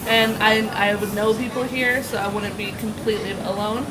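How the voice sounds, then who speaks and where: A woman's voice: mid-range voice, fairly even intonation, moderate pace. A young American woman giving casual interview answers in very informal speech, peppered with "like". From United States. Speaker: teacher being interviewed. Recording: loud traffic and street noise.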